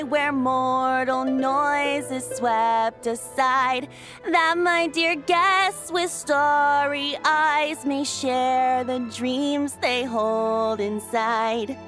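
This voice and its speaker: A woman's voice: sits high, fairly bright tone, exaggerated voice. A woman voicing a youthful, breezy male wind god and bard in a video game, with a light boyish voice and a lilting, theatrical manner. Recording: music under the voice.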